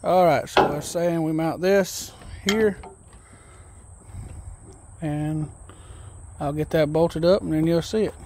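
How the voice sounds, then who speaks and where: Relaxed DIY man, unhurried and folksy, reading instructions aloud outdoors on a phone. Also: fairly high pitch, slight background noise. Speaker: DIY video host. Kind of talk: casual step-by-step assembly walkthrough. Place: outdoors on a wooden deck.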